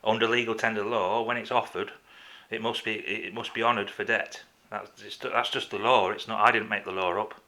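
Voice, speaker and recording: A man making a complaint as a caller in a phone conversation, insistent and halting, often repeating himself. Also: on the low side, fairly even intonation, pushed and bright. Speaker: caller to a council office.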